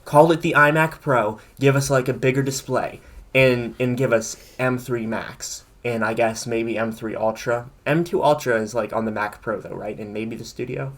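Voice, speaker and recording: A man's voice: mid-range voice, steady tone, moderate pace. A man co-hosting a casual tech podcast, opinionated and rambling as he thinks aloud. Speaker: podcast co-host.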